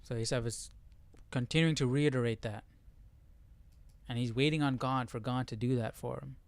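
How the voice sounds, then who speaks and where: A man's voice: mid-range voice, steady tone, normal speed, dry recording. Casual man leading study, dry close sound. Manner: conversational, seeks agreement with tag questions. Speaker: Bible study host. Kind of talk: casual Bible study commentary with verse reading.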